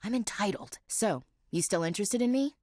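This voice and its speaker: A woman's voice: mid-range voice, animated, fairly quick, darkish tone, dry recording, clean studio sound, natural voice. An actress voicing a proud, guarded young woman marine in a video game, blunt and wry with a clipped military way of talking. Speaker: game character dialogue. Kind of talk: studio game voice acting.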